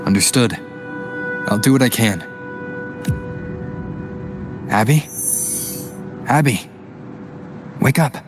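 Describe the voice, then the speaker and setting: Calm young hero over loud music. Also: male voice, mid-range voice, takes it slowly, pauses often. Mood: resolute. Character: calm, kind young male wandering hero. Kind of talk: video game voice acting.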